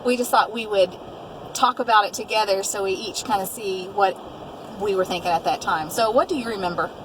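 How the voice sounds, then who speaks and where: A woman's voice: mid-range voice, a little fast. Middle-aged woman interviewer, some background noise. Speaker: host, mother interviewing her daughter. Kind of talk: reflective conversational interview.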